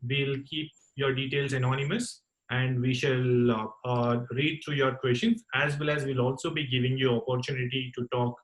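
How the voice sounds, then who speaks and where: A man hosting an online call-in talk session, speaking in a courteous, formal and halting way. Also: medium pitch, level tone, rough recording. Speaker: host of a campus radio talk session. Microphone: online group call.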